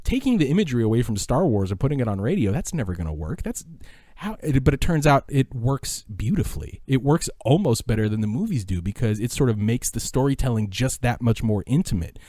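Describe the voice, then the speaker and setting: A man's voice: medium pitch, sing-song, fairly quick, dark tone, no room sound, studio quality. A middle-aged American man giving reflective, enthusiastic answers as a podcast guest, speaking earnestly and with emphasis. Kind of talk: reflective, enthusiastic interview talk.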